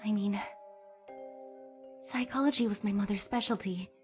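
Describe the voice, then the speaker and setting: A woman's voice: mid-range voice, moderate pace, darkish tone, faint music behind, natural voice. A woman voicing an earnest, cheerful young female defense lawyer who speaks with energy and sometimes breaks into emotional pleas. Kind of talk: fan dub voice acting.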